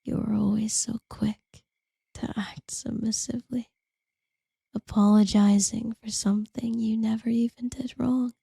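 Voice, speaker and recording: A woman plays a seductive, possessive lamia in an intimate audio roleplay. Her voice is very soft, dark and breathy, often dropping into a whisper with a creaky edge. She lingers slowly over her words, condescending and intimate, as if to a former lover. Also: fairly high pitch, little pitch movement, dry recording, clean studio sound, heavily acted. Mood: tender, patronizing.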